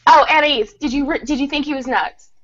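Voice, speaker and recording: A woman hosting a book podcast, chatting in a lively way and laughing readily. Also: high voice, a little fast, muffled recording. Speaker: podcast host. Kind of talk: casual podcast chat.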